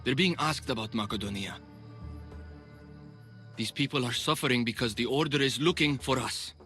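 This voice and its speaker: A man's voice: medium pitch, moderate pace, bright, forceful tone, faint music behind, lightly acted. A man playing a sombre informant of ancient Greece in a dramatic video game. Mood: sombre, concerned.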